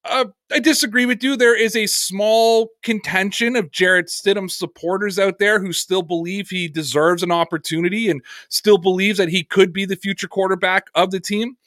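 A man's voice: high voice, fairly even intonation, moderate pace, few pauses, fairly bright tone, no room sound. A man hosting a sports podcast, answering viewer comments in an earnest, conversational way.

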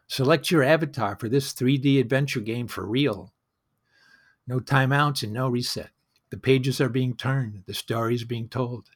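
A man hosting a solo storytelling show, speaking in a reflective, conversational and wry way, as in a personal monologue. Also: medium pitch.

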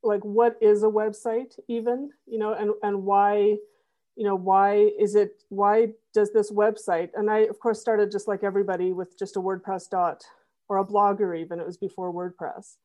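A middle-aged woman talking through her web development work in a relaxed interview, with plenty of restarts and fillers. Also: medium pitch, normal speed. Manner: thoughtful, many fillers and restarts. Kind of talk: conversational interview.

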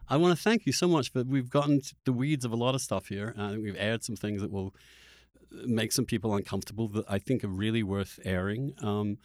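A man hosting a conversational interview podcast, speaking articulately with halting phrasing and emphatic word choices. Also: lowish voice, fairly even intonation, a little mellow, no room sound, clean studio sound. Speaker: podcast host.

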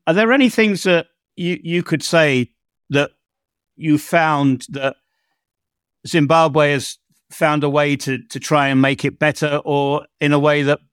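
A man with a fairly high voice hosts a conversational podcast interview. He phrases things carefully and in a measured way, recorded dry with barely any room sound. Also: fairly bright tone, dry recording. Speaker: podcast host.

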